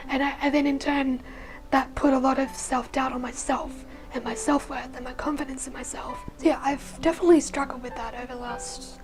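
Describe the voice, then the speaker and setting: A young woman with a naturally husky, hoarse voice that sits high for a woman. She talks in a rambling, personal way, full of "like" and "you know", and often sinks into a breathy near-whisper. Clear music plays under her voice throughout. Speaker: vlogger sharing her life story. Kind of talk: casual personal storytelling.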